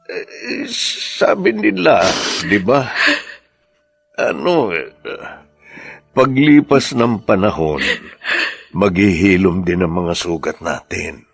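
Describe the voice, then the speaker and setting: An actor playing a humble, kindly, awkward man in a Filipino drama, speaking haltingly. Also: deep voice, expressive, creaky, husky, no echo, heavily acted. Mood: concerned, consoling. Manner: hesitant, halting. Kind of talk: Filipino radio-style drama acting.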